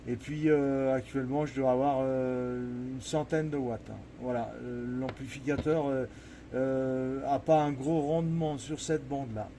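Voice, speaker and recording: A mellow-voiced man talks into his microphone during a casual ham radio contact. His pace is slowish and halting, with words often repeated, over faint background noise. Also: mid-range voice, fairly even intonation. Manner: halting, repetitive, searching for words. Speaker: amateur radio operator. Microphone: stage vocal microphone at radio station.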